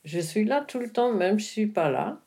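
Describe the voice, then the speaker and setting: A woman's voice: mid-range voice, expressive, moderate pace, vocal fry, mellow, breathy edge. A woman whose first language is not French, speaking in an intimate conversation and searching for her words with frequent restarts. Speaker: interviewee, the filmmaker's mother.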